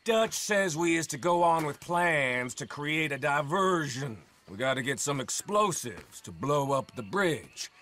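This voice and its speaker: An actor playing a scheming outlaw who mocks and provokes, with a drawling, taunting manner. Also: male voice, a little high, animated, unhurried, hardly any pauses, pushed and bright. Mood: smug. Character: sneering scheming outlaw man, mocking and provocative. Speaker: outlaw gang member.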